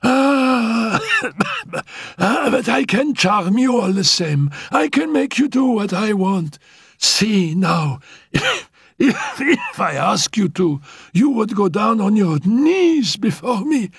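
A man's voice: big pitch swings, slow pace, vocal fry, husky, no room sound, clean studio sound, heavily acted. A man voicing a frail, feverish young woman who is dying but defiant, coughing and laughing breathlessly as she shrieks. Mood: bitter shrill. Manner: coughing fits, breathless laughter, shrill outbursts.

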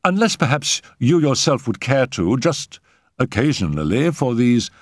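A man playing a persuasive, earnest gentleman who coaxes and placates his lover in a dramatic story reading. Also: medium pitch, sing-song, measured pace, no room sound, clean studio sound, pitched above the performer's own voice. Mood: placating. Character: enthusiastic, coaxing Frenchman, persuasive lover.

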